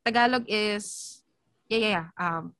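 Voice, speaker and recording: A woman chatting frankly on a podcast over a video call, laughing easily and stopping to restart her thoughts. Her voice sits at a middle pitch and sounds dry and close, with no room around it. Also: a little slow, a bit bright, no room sound. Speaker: podcast co-host. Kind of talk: casual chat.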